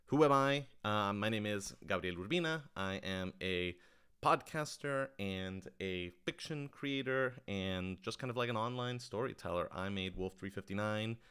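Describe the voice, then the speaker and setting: Clear-voiced man in casual livestream chat. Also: fairly low pitch, moderate pace, a bit bright. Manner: informal, thinking aloud with self-corrections. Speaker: podcast writer-director hosting a livestream Q&A.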